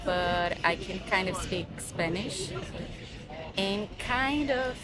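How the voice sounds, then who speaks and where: A woman's voice: mid-range voice, expressive, slow pace. A woman from Rio de Janeiro with a Brazilian Portuguese accent, a native Portuguese speaker, chatting relaxedly in a street interview. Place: outdoors on a city street. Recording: busy crowd around her.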